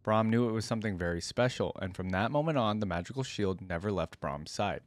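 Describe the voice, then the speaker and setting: Low-voiced man reacting casually. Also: fairly quick, dry recording. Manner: informal, thinking aloud with frequent "like". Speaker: reaction video host. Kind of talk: casual commentary and reading lore aloud.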